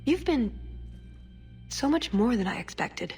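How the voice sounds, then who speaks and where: An actress playing an earnest, principled secret agent in a video game, speaking in a sincere, conversational way. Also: female voice, fairly high pitch, sing-song, a little slow, darkish tone, slightly rough, soft background music, heavily acted. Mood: regretful, fond. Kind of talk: video game dramatic acting.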